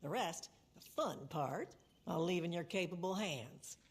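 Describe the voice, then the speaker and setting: A woman's voice: on the low side, lively intonation, measured pace, breathy edge, exaggerated voice. A woman playing a commanding, vengeful older criminal matriarch with a salty tongue in a dramatic game cutscene. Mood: calm, commanding.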